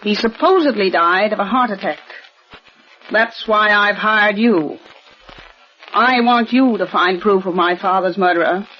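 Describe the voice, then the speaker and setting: A woman's voice: medium pitch, a little slow, close to an everyday voice. Woman playing a stiff proper rich lady of forty, insistent, old dull radio sound. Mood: tense. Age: 40s. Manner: formal and insistent. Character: tense, proper wealthy eldest daughter. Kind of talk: 1940s radio acting.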